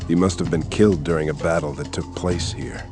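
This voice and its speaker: An actor playing a gruff young male orc adventurer in a video game, terse and wry, musing aloud. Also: very deep voice, brisk, music in the background. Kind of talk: video game character acting.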